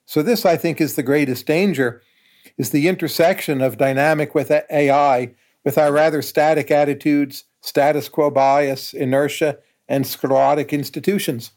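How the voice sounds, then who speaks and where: A man in his sixties speaks as an interview guest. His voice sits slightly high for a man, and he makes his points in short, firm, measured statements. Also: steady tone, darkish tone. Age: 60s. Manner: measured, confident, declarative. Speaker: guest, professor. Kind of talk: conversational interview.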